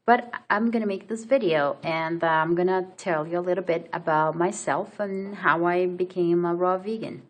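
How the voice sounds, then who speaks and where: Low-voiced woman with a Brazilian accent. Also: normal speed, hardly any pauses, muffled recording. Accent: Brazilian Portuguese. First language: Portuguese. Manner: hesitant, many fillers and restarts. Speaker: vlogger. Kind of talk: casual personal story to camera.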